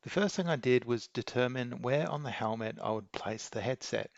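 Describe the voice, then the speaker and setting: Gravelly man narrating a how-to. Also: mid-range voice, normal speed, dry recording, highs cut off. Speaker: video maker giving an installation tutorial.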